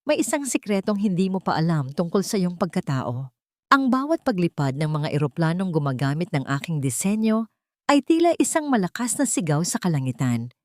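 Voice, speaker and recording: Female story narrator, lowish pitch, solemn, measured, dramatic scripted delivery, very dry recording. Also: very animated, no room sound.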